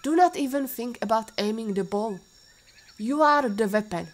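An actress playing a patient, caring warrior mentor with a Russian accent and formal, instructive phrasing, in an audio drama. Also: female voice, on the high side, lively intonation, normal speed, lightly acted. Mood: stern, focused. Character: patient female warrior mentor, former Interpol agent, caring teacher.

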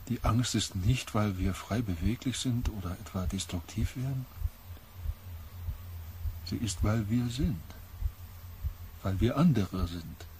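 An actor playing an empathic expedition member in a radio drama, halting and intense, overwhelmed by what he senses. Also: lowish voice, a little mellow, poor audio. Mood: awed, brooding. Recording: faint ambient noise.

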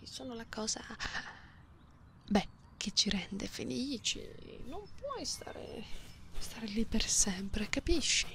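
An affectionate catgirl, played by a woman, coos close to the ear in an ASMR roleplay. Her breathy, often whispered voice is fairly high and creaky, repeating words softly and playfully. The close binaural recording carries clear background noise. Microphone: close binaural microphone.

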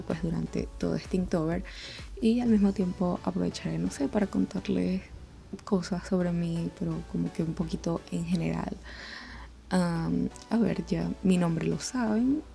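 A young Venezuelan woman talks casually over soft music. Her voice is on the low side and mellow, with a lot of creak, and she rambles along informally in a conversational way. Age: 20s. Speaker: art channel host. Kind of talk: casual chatty voice-over over drawing.

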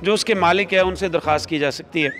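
A man's voice: sits high, a bit bright, soft background music. A man in his forties with a Pakistani accent, answering an interview politely as a rescue service spokesman. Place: emergency call-centre control room. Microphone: reporter's handheld microphone held to him.

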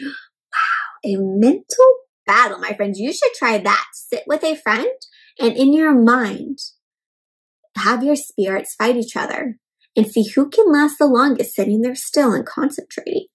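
A young adult woman reading a picture book aloud to children in an expressive, teacherly way, pausing to explain and ask questions. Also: on the high side, husky, poor audio. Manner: expressive, emphatic, teacherly, slowing on key words. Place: indoor room at home.

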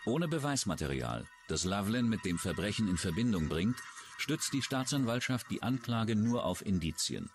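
A man's voice: lowish voice, very animated, normal speed. A man narrating a true-crime documentary in a scripted style. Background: background music.

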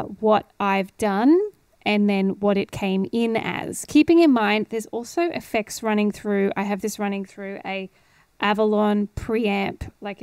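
A woman's voice: mid-range voice, a little mellow, clean tone, no echo. A woman, chattily explaining things in a casual screen-share tutorial. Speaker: audio producer giving a tutorial. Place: indoor home studio. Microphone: headphones and close studio microphone.

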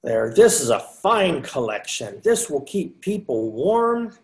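A man's voice: fairly high pitch, very animated, slow pace, vocal fry, heavily acted. An older man playing a humble, pious young friar, musing aloud to himself in amateur readers theater. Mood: satisfied. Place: indoors at home, video call.

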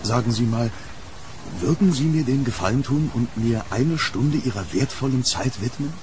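An actor playing a troubled, courteous gentleman archaeologist haunted by his past, speaking formally and broodingly in an audio drama. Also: mid-range voice, moderate pace, background noise, natural voice. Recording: rainy street ambience, thunder.

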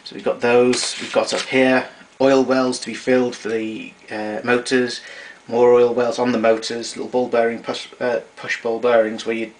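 A man talks through equipment in an informal, matter-of-fact demonstration. His voice sits at an average male pitch. It is an amateur recording from a small room, with clear noise behind him. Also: flat delivery, moderate pace, poor audio. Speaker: tape recorder collector giving a demonstration. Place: small domestic room indoors. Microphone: camera mic a short distance away. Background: clear background noise.

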